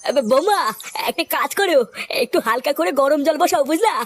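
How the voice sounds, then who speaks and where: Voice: high voice, animated, brisk, a little hiss or hum. An actor playing an aching, grumbling old village father-in-law in a comedy cartoon, groaning and complaining. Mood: aching, weary. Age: older.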